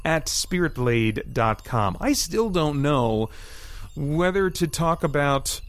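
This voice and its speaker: A man's voice: a little high, sing-song, takes it slowly. A man hosting a podcast solo in a casual style, moving between scripted bits and rambling asides, often interrupting himself as he thinks aloud. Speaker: podcast host.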